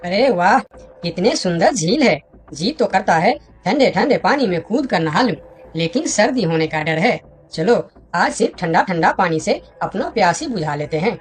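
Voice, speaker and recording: A woman's voice: mid-range voice, expressive, normal speed, close to an everyday voice. A woman voicing a friendly, earnest monkey in a children's cartoon, speaking expressively. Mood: delighted. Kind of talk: children's cartoon story dialogue.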